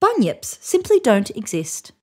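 A preoccupied, indifferent storybook man, played by a woman reading aloud. He answers curtly and with total certainty, matter-of-fact and dismissive, with a lively range. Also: a little high, unhurried, voice pushed up, exaggerated voice. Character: busy, indifferent man of science, curt and certain.

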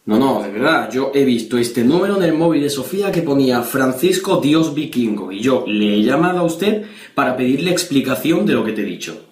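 A man's voice: mid-range voice, animated, a little fast, lightly acted. A young man from Spain playing a stern, preachy, threatening policeman father on a prank call, insistent and accusatory. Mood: stern, accusing. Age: young adult. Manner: insistent, accusatory, repeating the listener's name. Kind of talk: prank phone call improvisation. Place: small bedroom, indoors. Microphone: webcam or camera at desk distance. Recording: roomy, echoey bedroom.

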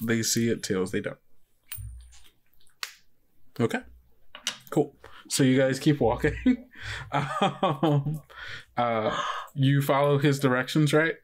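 A man's voice: medium pitch, expressive, takes it slowly, long pauses. A man working as game master, narrating an actual-play tabletop game in an informal, conversational way.

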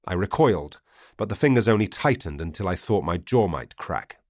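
A man reading a first-person story as an audiobook narrator, grave, theatrical and deliberate. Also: sits low, expressive, a little mellow, dry recording.

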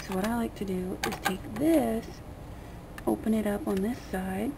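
A woman with a gravelly, creaky voice at a middling pitch walks through a hands-on demonstration. She talks casually and rambles in plain colloquial language. The homemade camera recording has clear background noise. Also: moderate pace. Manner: rambling, colloquial, mildly crude. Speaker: vlogger giving a how-to demonstration. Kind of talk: casual unscripted hands-on tutorial. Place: small dim room indoors at a table. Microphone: camera mic pointed at hands on table.

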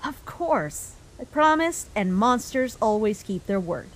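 A woman voicing a caring human child in a comic dub, a protective older sibling who speaks gently and reassuringly. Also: a little high, very animated, a little slow. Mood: cheerful, reassuring.

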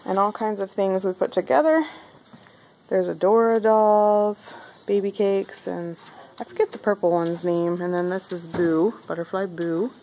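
A woman's voice: mid-range voice, unhurried, warm tone, a little hiss or hum, poor audio. A woman casually vlogging a walk-through of a craft bazaar, chatty and upbeat with lots of fillers. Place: indoor craft bazaar hall.

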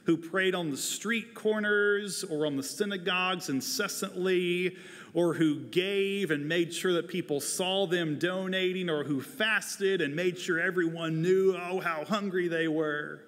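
A man in his forties delivering a prepared sermon as a pastor, earnest and deliberate, speaking into a lapel mic in a large church. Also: sits high, a bit bright. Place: large church sanctuary, indoors, at a pulpit. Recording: long hall reverb of a large sanctuary.

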